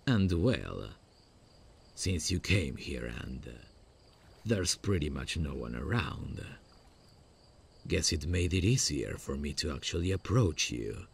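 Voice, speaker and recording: A man playing a smug, infatuated stalker in a horror parody roleplay, coaxing and halting. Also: rumbling low, sing-song, vocal fry, slight background noise, heavily acted. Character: creepy, infatuated male stalker, smugly coaxing.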